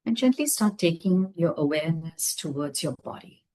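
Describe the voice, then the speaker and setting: Female meditation guide, low-pitched and breathy-husky, gentle delivery with spacious pauses. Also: animated. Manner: gentle, unhurried phrases with long pauses. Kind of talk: guided meditation, slow soothing instruction.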